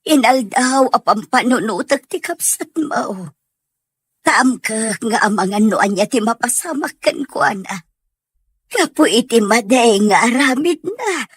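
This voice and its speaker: A worried, sorrowful mother played by an actress in an audio drama, fretting over her son. She speaks slowly in a husky, slightly high voice, recorded dry. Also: animated, dry recording. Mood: worried, sad. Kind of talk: acted dialogue in an audio drama.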